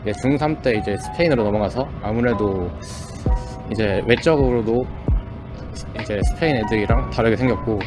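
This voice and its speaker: A man's voice: lowish voice, rapid-fire, over music. A young man answering street interview questions in long running sentences.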